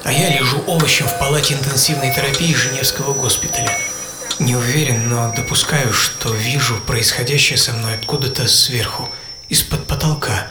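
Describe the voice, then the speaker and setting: A man's voice: medium pitch, moderate pace, over loud music, natural voice. A man playing a witty, ironic English physicist reflecting on his life in a theatrical radio monologue. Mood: wry, reflective. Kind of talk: dramatised first-person monologue, radio play.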